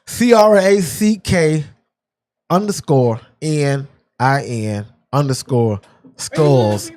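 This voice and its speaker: A man's voice: medium pitch, slow pace, a little mellow. A man hosting a casual podcast, speaking in a laid-back way with short interjections. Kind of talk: casual chat.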